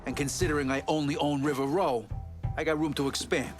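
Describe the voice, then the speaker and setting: Guy playing a scornful ambitious mobster in a game, higher voice, combative, music underneath. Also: fairly even intonation, brisk, close to an everyday voice. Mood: brash, scornful. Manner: brash, combative. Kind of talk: video game cutscene acting.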